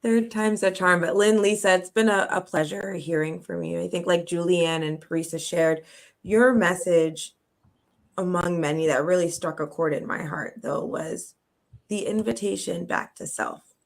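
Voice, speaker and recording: Low-voiced woman, heartfelt and measured. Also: vocal fry. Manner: heartfelt, measured, drawn-out emphasis. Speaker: panelist. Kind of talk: reflective panel discussion.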